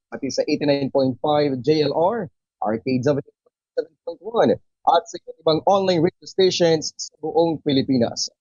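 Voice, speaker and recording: Mellow radio co-anchor. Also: male voice, a little high, slightly rough, lo-fi sound. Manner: formal, says "no?" often. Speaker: news co-anchor. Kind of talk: radio news sign-off.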